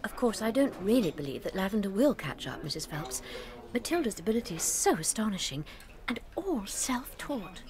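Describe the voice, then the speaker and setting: An actress playing a sweet, gentle British primary school teacher in a dramatised audiobook, speaking politely, patiently and earnestly. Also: female voice, medium pitch, big pitch swings, normal speed, lightly acted. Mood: earnest, amazed.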